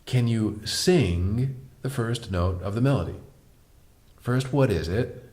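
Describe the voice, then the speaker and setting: A man with a deep voice teaching a piano lesson, patiently explaining step by step and asking the viewer questions. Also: slow pace, a little mellow. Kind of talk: online music lesson.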